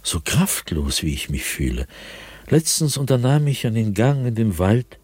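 A man playing an anguished, fearful, solitary diarist in a radio drama, speaking in a husky, brooding voice with sudden swells and hushed drops. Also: fairly low pitch, very animated, normal speed, dry recording. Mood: weary, despondent. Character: anguished, fearful, solitary male diarist haunted by nightly dread. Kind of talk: German radio drama monologue, diary read dramatically.

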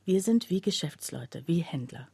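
An actress playing an earnest working woman who narrates a literary radio play in a husky voice. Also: lowish voice, animated, normal speed, warm tone, no room sound, exaggerated voice. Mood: somber, reflective. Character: earnest working woman recounting her factory's closure.

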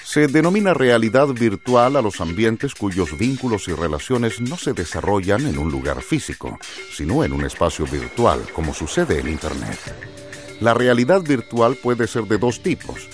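Low male narrator, formal explainer for educational radio, faint music behind. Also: sing-song, moderate pace.

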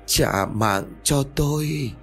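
A man's voice: mid-range voice, slow pace, fairly bright tone, soft background music, voice pushed up. A man voicing the vengeful ghost of a small child, demanding his life back in a distant, hollow voice.

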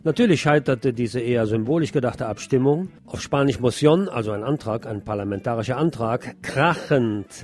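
A man presenting a scripted radio news commentary, reading out press reports in a measured, explanatory way. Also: medium pitch, darkish tone.

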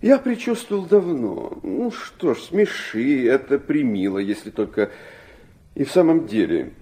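A man's voice: medium pitch, big pitch swings, measured pace, warm tone. An actor playing an urbane, aloof, middle-aged aristocratic father in an audio drama, mocking and capricious. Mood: ironic, condescending. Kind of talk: theatrical audio drama acting.

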